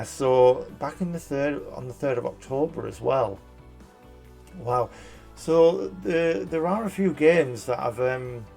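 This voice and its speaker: A man's voice: mid-range voice, vocal fry, darkish tone. Chatty man reviewing trophies. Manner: chatty, rambling, frequent fillers and restarts. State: slightly hoarse. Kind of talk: casual commentary.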